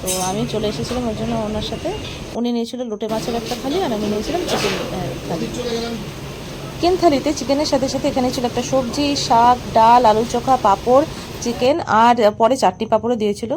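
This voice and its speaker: Fairly high-voiced West Bengali woman vlogging, noisy background. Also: fast talker. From West Bengal, India. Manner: chatty, addresses viewers as friends. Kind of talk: casual daily vlog narration. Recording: noisy outdoor traffic and rain ambience.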